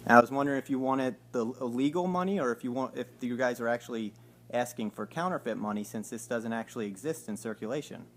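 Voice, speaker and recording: A man in his forties at home, asking slow, hesitant, mock-innocent questions on a recorded phone call. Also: mid-range voice, a bit bright, slight background noise. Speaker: caller. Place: home living room by a window.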